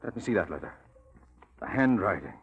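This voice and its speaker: An actor playing an earnest, insistent detective in 1940s radio drama style, with a clipped, commanding, deliberate delivery. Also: male voice, low-pitched, sing-song, husky, dull audio, full character voice. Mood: urgent, revelatory. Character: earnest, insistent male detective, urgent and protective.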